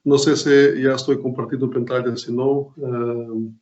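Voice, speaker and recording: A man's voice: medium pitch, little pitch movement, unhurried, darkish tone. A Portuguese-accented man lecturing over a video call, stopping often with fillers and restarting his sentences. His voice sits in a middle range. The sound is roomy and muffled, as through a laptop call. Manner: hesitant, many fillers and restarts. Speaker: guest lecturer. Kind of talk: online academic talk.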